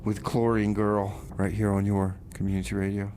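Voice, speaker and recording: A man's voice: on the low side, monotone, normal speed. A man hosting a music show with casual, comic radio banter.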